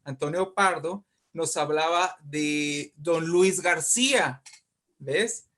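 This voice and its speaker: A man, talking as an interview guest and explaining history in an animated way, often checking in with questions. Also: a little high, slow. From Mexico. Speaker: interview guest, writer and poet.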